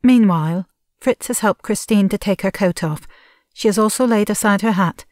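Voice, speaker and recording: A woman's voice: mid-range voice, normal speed, a little mellow, dry recording, clean studio sound, natural voice. A woman reading stage directions as the neutral narrator of an audio play, in scripted narration.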